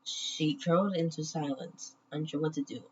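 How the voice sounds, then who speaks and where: A woman reading a children's story aloud in measured short phrases with pauses, on a muffled, noisy recording. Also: low voice, fairly even intonation, darkish tone. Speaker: children's book reader.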